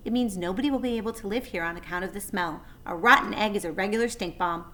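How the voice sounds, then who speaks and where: A woman's voice: medium pitch, fast, bright, forceful tone, natural voice. A woman voicing an articulate female spider who speaks in a formal, gracious, proper way in a storybook. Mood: knowing.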